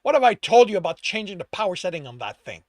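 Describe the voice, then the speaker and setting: A man's voice: fairly high pitch, expressive, unhurried, pushed and bright, dry recording, clean studio sound, exaggerated voice. A man voicing a menacing, short-tempered rat gang boss in a fantasy story, growling out threats. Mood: angry. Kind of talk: storybook character dialogue.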